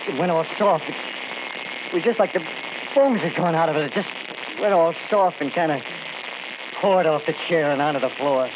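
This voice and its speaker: An actor playing a shaken eyewitness in a vintage radio horror drama, agitated and halting as he repeats himself. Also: fairly high pitch, muffled recording, close to an everyday voice. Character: shaken male eyewitness recounting a gruesome weapon's effect. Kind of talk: 1940s radio horror acting. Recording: noisy, band-limited old radio recording.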